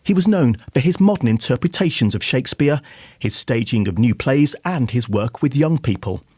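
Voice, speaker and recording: A man delivers a scripted arts report for radio news. His voice sits at a middle pitch, and the recording is dry and close. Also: lively intonation, moderate pace, a little mellow, breathy edge, dry recording. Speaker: arts correspondent.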